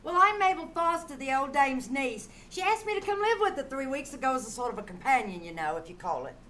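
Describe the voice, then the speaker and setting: A woman's voice: high-pitched, big pitch swings, fairly quick, bright, forceful tone, slight background noise, full character voice. A woman playing a brash, defensive young gold-digging niece in a live old-time radio mystery, sassy and slangy. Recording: live theater stage recording.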